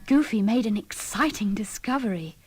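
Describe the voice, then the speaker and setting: A woman narrating a children's read-along story in a breathy, whispery voice. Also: medium pitch, lively intonation, moderate pace, no echo.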